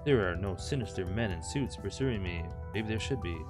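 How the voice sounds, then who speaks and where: A man's voice: on the low side, lively intonation, normal speed. A man hosting a gaming video, reading a visual novel aloud with crude, jokey asides. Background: game music.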